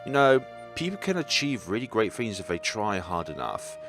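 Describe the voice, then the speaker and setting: Teen martial arts captain played by a man: assertive, caring, expressive intonation, visual novel dialogue. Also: on the low side, normal speed, voice pushed down. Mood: earnest. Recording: background game music.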